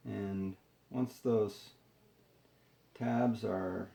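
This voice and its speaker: Male how-to presenter, unhurried and dark-toned, unscripted, explaining each step haltingly in an echoey room. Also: lowish voice, steady tone, vocal fry. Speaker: tutorial presenter. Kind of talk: hands-on how-to demonstration, unscripted.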